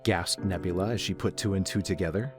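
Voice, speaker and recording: Low-voiced male story narrator. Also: brisk, soft background music.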